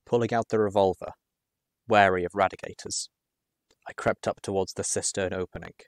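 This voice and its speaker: A man reading a first-person adventure story aloud in a measured, expressive storytelling manner. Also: sits low, no echo, studio quality. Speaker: audiobook reader.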